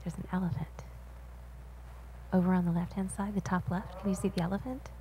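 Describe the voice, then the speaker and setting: A woman's voice: fairly low pitch, steady tone, fairly quick, mellow, slight background noise, rough recording. A woman voicing a signed panel talk as an interpreter, explaining and asking the audience questions at an auditorium panel.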